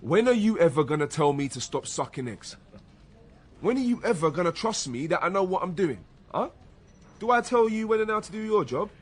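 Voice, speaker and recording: A hot-headed British male detective in a radio drama. His voice is husky and sits a little high, and he talks bluntly and colloquially, easily exasperated and quick to defend himself. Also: big pitch swings. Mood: defensive, exasperated. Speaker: police detective. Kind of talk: naturalistic radio drama acting.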